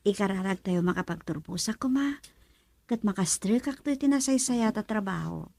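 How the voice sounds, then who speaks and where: Woman as a devoted poor daughter: husky, creaky, prayerful. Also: mid-range voice, lively intonation, normal speed, mellow. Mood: earnest. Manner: pleading, prayerful. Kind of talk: Ilocano radio drama acting.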